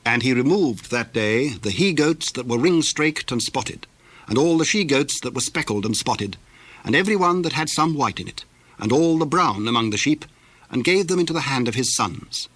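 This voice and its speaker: A man giving measured, formal scripted narration for a dramatized Bible reading. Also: medium pitch, lively intonation, fairly bright tone.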